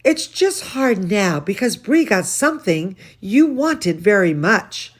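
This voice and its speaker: Woman voicing a gentle mother, storybook, patient, soothing, slow delivery. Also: medium pitch, sing-song. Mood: reassuring. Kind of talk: children's storybook read-aloud.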